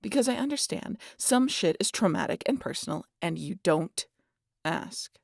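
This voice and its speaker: A woman playing a sardonic, guarded vampire in an intimate audio roleplay, with a gravelly, husky voice and a teasing, exasperated manner that slips into breathy whispers. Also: lowish voice, lively intonation, moderate pace, no echo, clean studio sound. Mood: exasperated, defensive. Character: sardonic, guarded female vampire hypnotizing an injured hunter.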